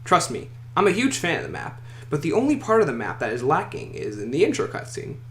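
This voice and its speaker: In an echoey room with faint noise, a man delivers scripted countdown commentary as a video host. His fairly high voice moves up and down in a very lively way. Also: fairly quick.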